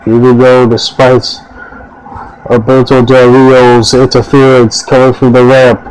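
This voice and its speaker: A man on a casual panel speaking in a calm, deliberate way. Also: mid-range voice, clear, resonant tone, rough recording. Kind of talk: casual chat.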